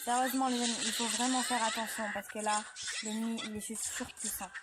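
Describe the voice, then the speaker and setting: A chatty woman with a cold, her voice on the high side, rambling through mobile game commentary. She apologizes often and keeps losing the thread. Game music plays clearly underneath. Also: little pitch movement, fairly quick. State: has a cold, dry throat. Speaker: gaming channel host.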